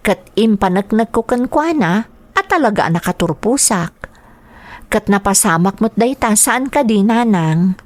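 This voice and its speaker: A woman's voice: medium pitch, expressive, a little slow, dry recording, natural voice. A woman acting as a dutiful, earnest young daughter in an Ilocano radio drama, speaking with a Filipino accent. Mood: concerned, sympathetic. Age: young adult.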